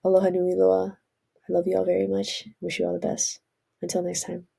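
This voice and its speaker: Vlogger, woman, stuffy from a cold, gravelly and husky, earnest rambling delivery. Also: fairly low pitch, monotone, brisk, dark tone. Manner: rambling, earnest, self-correcting. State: congested from a cold. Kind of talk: casual spiritual vlog monologue.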